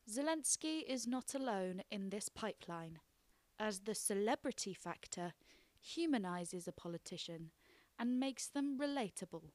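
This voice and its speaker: A woman reading her own political essay aloud as scripted narration, measured and explanatory. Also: medium pitch, a little mellow, dry recording.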